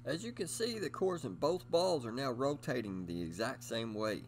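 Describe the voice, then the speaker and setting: A man's voice: mid-range voice, animated, moderate pace, slight background noise. A middle-aged man giving scripted instructional narration in an upbeat presenter manner, speaking straight to his viewers. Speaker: host of a bowling instruction video.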